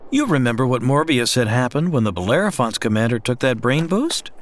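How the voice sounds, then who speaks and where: A man's voice: mid-range voice, lively intonation, fairly quick, natural voice. A man playing a dazed, grandiose ship's doctor in a comedy audio drama, with a portentous manner. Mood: urgent.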